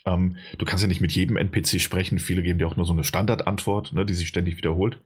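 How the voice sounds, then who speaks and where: A man's voice: sits low, little pitch movement, fast, breathy edge. A man chatting casually about a game he is playing, rambling with many fillers and restarts. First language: German. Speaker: game reviewer. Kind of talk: casual podcast chat.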